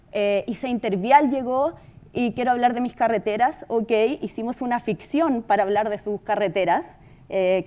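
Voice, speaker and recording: High-voiced Chilean woman presenting. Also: a little mellow. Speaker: podcast company presenter. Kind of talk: informal conference presentation.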